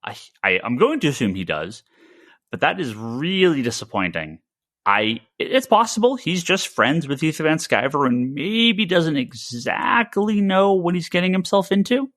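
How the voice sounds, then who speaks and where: A man's voice: fairly high pitch, big pitch swings, normal speed, a bit bright, dry recording, clean studio sound. An American man hosting a casual podcast discussion, giving opinions emphatically and stammering over repeated words. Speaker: podcast host; comic shop retailer.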